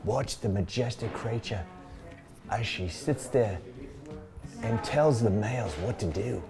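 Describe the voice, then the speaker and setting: A man voicing a pompous nature-documentary narrator in a fake English accent, with a hushed, grand delivery. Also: lowish voice, expressive, unhurried, creaky, over music. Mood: awed. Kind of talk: mock wildlife documentary narration.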